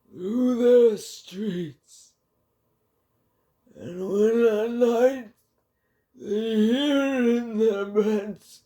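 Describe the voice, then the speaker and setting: A man voicing a frail, mournful old hermit saint in a dramatic book reading, with a quavering, halting, theatrical delivery. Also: very high-pitched, vocal fry, dark tone, exaggerated voice. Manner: theatrical, very slow, halting, drawn-out. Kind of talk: dramatic audiobook character reading.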